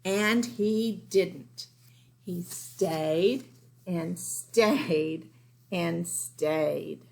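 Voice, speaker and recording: A woman reading a picture book aloud as a teacher, deliberate and emphatic, for young children. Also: lowish voice, gravelly, a little mellow. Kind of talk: picture-book read-aloud with informal asides.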